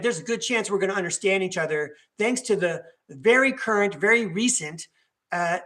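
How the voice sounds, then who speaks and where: A man's voice: sits high, pushed and bright. An older man, hosting a podcast in a chatty, rambling way with many fillers and restarts. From New York, United States. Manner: digressive, chatty, many fillers and self-corrections. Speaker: podcast host. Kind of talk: rambling solo talk.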